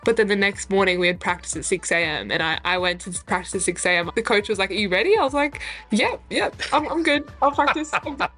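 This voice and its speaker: A woman's voice: medium pitch, expressive, fast talker, hardly any pauses, a bit bright, soft background music. A teenage girl who grew up from Hungary, talking as an interview guest in a chatty, casual way, full of "like" fillers and frequent laughs. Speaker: young basketball player, guest.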